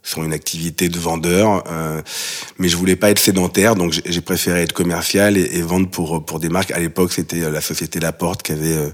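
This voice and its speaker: A Frenchman in his fifties from the Paris suburbs, a fashion executive answering interview questions with many fillers and restarts. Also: deep voice. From Paris suburbs, France. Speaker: fashion company managing director, guest.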